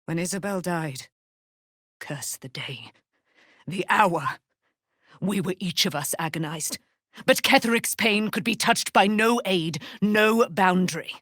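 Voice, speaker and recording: A proud immortal warrior woman for a fantasy game. Her voice sits a little low and moves very slowly, declaiming archaic phrases with grandeur and a wrathful edge. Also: a bit bright, no room sound, studio quality. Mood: grieving. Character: proud, wrathful immortal warrior paladin, daughter of moon goddess. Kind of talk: theatrical fantasy video game acting.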